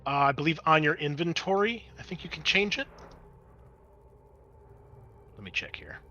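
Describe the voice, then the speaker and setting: A man's voice: a little high, big pitch swings, quick pace, pushed and bright, muffled recording. A man running a tabletop roleplaying game as game master, switching between dramatic scene-setting and casual rules talk.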